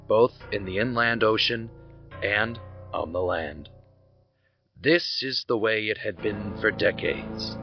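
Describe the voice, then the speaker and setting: Slow, gravelly, determined young soldier. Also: male voice, sits low. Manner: solemn, deliberate, brooding. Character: young vengeful soldier son, grimly determined. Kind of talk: first-person dramatic narration in audio drama. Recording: slightly muffled, under loud music. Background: loud music.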